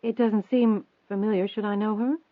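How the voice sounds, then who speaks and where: A man's voice: high voice, steady tone, normal speed, no room sound, pitched above the performer's own voice, lightly acted. A man voicing a prim, nervous, proud rich woman in an audiobook, formal and defensive, with an anxious edge. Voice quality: trembling, anxious. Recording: thin phone-like band-limited audio.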